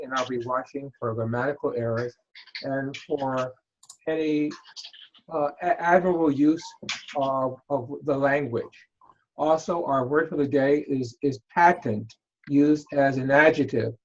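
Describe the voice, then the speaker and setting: A man serving as grammarian at an online meeting, speaking in a measured, precise way. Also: medium pitch, dull audio, a little hiss or hum. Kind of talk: defining a word for an online meeting. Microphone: video call.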